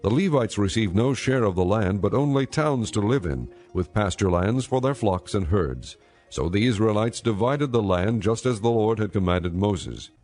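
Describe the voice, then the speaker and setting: A man reading scripted audio Bible narration in a measured, solemn manner. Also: on the low side, expressive, darkish tone. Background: music.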